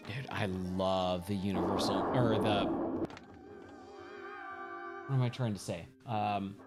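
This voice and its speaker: A hoarse man chatting casually while he streams himself playing pinball, thinking aloud and getting sidetracked mid-sentence. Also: on the low side, slow pace. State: hoarse, scratchy voice. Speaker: game streamer. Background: game music.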